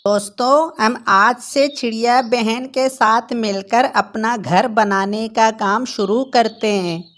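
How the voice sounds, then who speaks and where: A woman's voice: on the high side, takes it slowly, a bit bright. A woman voicing a wary, plain-spoken bird neighbour in a cartoon, firm and earnest. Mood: agreeable, earnest.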